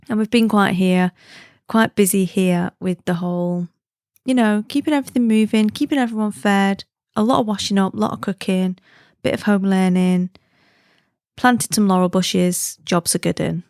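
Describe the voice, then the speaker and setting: A woman's voice: medium pitch, fairly even intonation, moderate pace, darkish tone, no room sound, studio quality. A woman from the UK hosting her own podcast, chatting in a friendly, easygoing way and laughing easily. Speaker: podcast host. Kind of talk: casual chatty podcast monologue.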